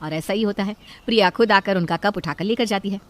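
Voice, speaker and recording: A woman's voice: mid-range voice, fairly quick, fairly bright tone. A woman narrating a scripted story.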